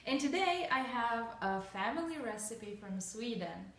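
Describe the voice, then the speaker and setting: Slow, chatty woman from Russia, echoey kitchen. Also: fairly high pitch, lively intonation, fairly bright tone. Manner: chatty, halting, with many fillers and restarts. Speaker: cooking vlogger. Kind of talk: casual recipe demonstration. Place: home kitchen. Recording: echoey kitchen, voice far from mic.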